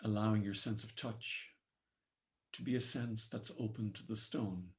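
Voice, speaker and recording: Meditation guide: Irish male, lowish voice, solemn and measured, poetic delivery. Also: steady tone. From Ireland.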